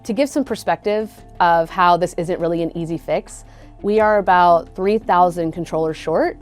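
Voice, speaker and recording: Lower-pitched woman giving interview commentary. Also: normal speed, faint music behind.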